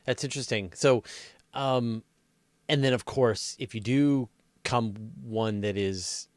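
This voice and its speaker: A man's voice: medium pitch, slow, no echo, clean studio sound. A man hosting an interview in a casual, conversational style, musing and putting questions to his guest. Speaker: interview host.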